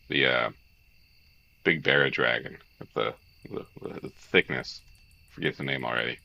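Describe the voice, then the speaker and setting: Super deep gravelly guy doing a game read-along, swears a lot, kinda dull lo-fi mic. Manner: swears, excitable, jokey. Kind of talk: lively visual novel read-along with casual commentary.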